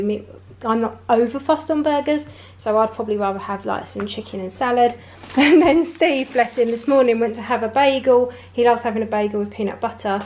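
A woman's voice: fairly high pitch, a little mellow. Chatty woman vlogger. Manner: chatty, laughs often. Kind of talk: casual haul vlog. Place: home conservatory, indoors.

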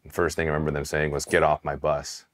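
A man in his thirties, from Taiwan and raised in California, telling his Army story in a candid, relaxed interview with casual swearing and frequent restarts. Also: extremely low pitch, quick pace, a little hiss or hum. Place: dark studio set, indoors. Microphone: hidden mic, seated on camera.

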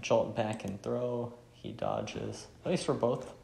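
Man in casual gameplay chat, creaky voice. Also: mid-range voice, huge pitch swings, slow pace, warm tone, reverberant, slight background noise. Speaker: gamer playing and reading game dialogue. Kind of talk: casual let's play chat with read-aloud dialogue.

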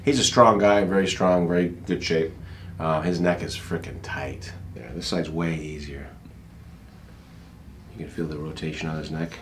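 A man's voice: rumbling low, steady tone, moderate pace, pauses often. A middle-aged man talking casually as a chiropractor, informal and joking, with some salty language. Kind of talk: casual patient consultation and commentary. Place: small office treatment room. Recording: background noise, room ambience.

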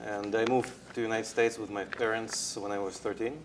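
A man's voice: lowish voice, flat delivery, fairly quick, background noise. A man in his thirties from Russia with a Russian accent, answering interview questions hesitantly with many fillers. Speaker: guest, tech founder. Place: indoor studio set. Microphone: lapel.

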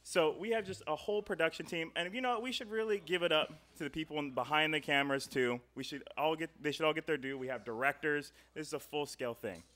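A man's voice: a little high, fast, few pauses, sharp tone. A young man hosting a live show in a chatty, rambling, jokey style into a close microphone. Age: young adult. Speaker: host. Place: indoor studio stage. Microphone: close stand microphone.

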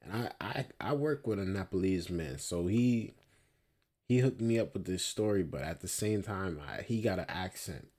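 A young man giving casual reaction commentary on a news clip, slow and drawn-out, often ending lines with "man". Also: deep voice, expressive, darkish tone. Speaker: video commentator. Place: small room indoors.

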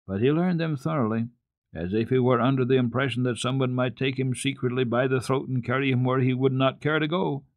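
An older man giving a deliberate, expressive, clearly enunciated dramatic reading of a children's storybook. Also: medium pitch, no room sound. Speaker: storyteller, radio program host.

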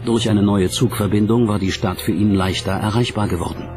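A man narrates a documentary in a low-pitched voice. He reads from a script, and music plays clearly behind him. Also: steady tone, brisk.

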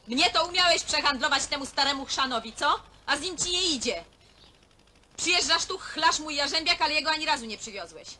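An actress playing a hard, coquettish middle-aged widow, blunt and taunting, in naturalistic film drama. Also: female voice, sits high, animated, fairly quick, pushed and bright. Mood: bitter, defiant. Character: hard, coquettish widowed woman craving a younger man. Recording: faintly noisy old film soundtrack.

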